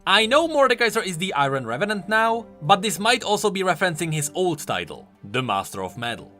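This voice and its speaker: Guy explaining game lore for a video, bright punchy voice, swings up and down a lot, bit of music behind. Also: sits high, big pitch swings, brisk. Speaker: YouTube game lore host.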